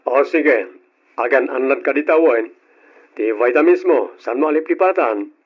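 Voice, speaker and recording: Actor playing a gentle, husky-voiced hesitant boyfriend. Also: male voice, mid-range voice, moderate pace, close to an everyday voice. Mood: caring, affectionate. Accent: Ilocano. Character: devoted but hesitant boyfriend, gentle and apologetic. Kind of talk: old-time Ilocano radio drama acting. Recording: dull lo-fi old radio drama recording.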